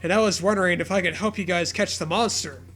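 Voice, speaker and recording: A man co-hosting a comedic fan fiction reading, profane, sarcastic and excitable, with laughter often in his delivery. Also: sits high, fast, faint music behind. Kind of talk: comedic read-aloud with riffing.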